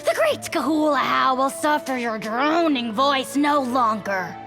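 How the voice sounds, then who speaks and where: Arrogant spirit-dragon for a game: high, slow, grandiose delivery, bombastic and threatening. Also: big pitch swings, music in the background, heavily acted. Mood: scornful. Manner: pompous, booming self-important declarations. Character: haughty, bombastic, menacing dragon spirit craving a body. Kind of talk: video game voice acting.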